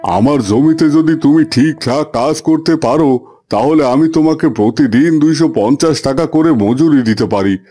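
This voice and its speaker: Plain-spoken rural man, cartoon fairy tale male actor: dark, soft tone over faint music. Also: a little high, moderate pace, lightly acted. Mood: businesslike. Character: village bosses and field worker, plain-spoken men.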